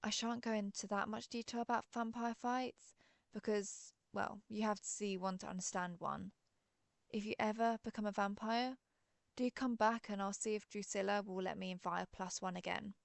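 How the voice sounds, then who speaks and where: A woman acts as a genteel female vampire with a gravelly fry in her voice, recorded close and dry. She is an ancient but friendly creature, chatting in a polite, gently wry way in an audio roleplay. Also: mid-range voice, fairly even intonation, normal speed, no echo, lightly acted. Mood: wry, chatty. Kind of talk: scripted roleplay audio, one side of an interview.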